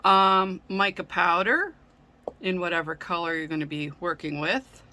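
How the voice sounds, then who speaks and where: A woman hosting a casual craft tutorial, speaking gently with frequent ums, her voice a little raspy from a sore throat. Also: fairly low pitch, a little slow. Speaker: craft channel host.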